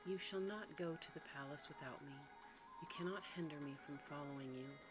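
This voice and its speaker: The narrator voices a gentle, selfless young daughter in a hushed ASMR storybook reading, calm and firm in formal old-fashioned speech. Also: medium pitch, brisk, music in the background, lightly acted. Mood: resolute.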